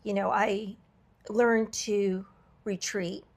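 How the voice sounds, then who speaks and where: Slightly raspy woman, reflective podcast host. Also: mid-range voice, level tone, slow. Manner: earnest and reflective, many fillers and restarts. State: slightly raspy voice from travel fatigue. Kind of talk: candid podcast conversation.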